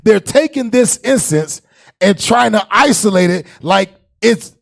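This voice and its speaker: A man with a fairly high voice and heavy creak, speaking with an American accent. He preaches and comments in a colloquial way, hammering points by repeating them. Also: lively intonation, a little slow. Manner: emphatic, repetitive, colloquial. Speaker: panel host, religious teacher. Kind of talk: impassioned preaching and panel commentary. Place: indoor curtained studio set, panel table. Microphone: handheld microphone.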